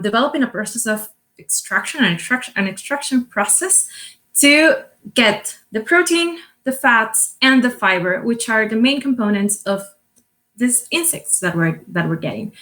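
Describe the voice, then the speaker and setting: A woman's voice: fairly high pitch, a bit bright. Woman presenting her company. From Costa Rica. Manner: earnest, explanatory, with frequent fillers and restarts. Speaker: company co-founder and CEO. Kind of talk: business presentation.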